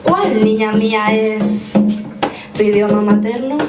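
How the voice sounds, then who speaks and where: Young woman doing slam poetry, slow and rhythmic, mic kinda far, echoey room. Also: medium pitch. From Spain, of Moroccan family. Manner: slow, rhythmic, deliberate recitation. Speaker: spoken word poet. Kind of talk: spoken word poetry performance. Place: live poetry event on a stage. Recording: roomy live room, distant mic, loud music. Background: loud instrumental music.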